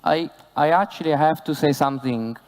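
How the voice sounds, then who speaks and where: Teasing Italian man, slightly high voice. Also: moderate pace, darkish tone. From Italy. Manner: teasing interruptions, short dry jabs. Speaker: bar consultant presenting at a seminar. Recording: long hall reverb.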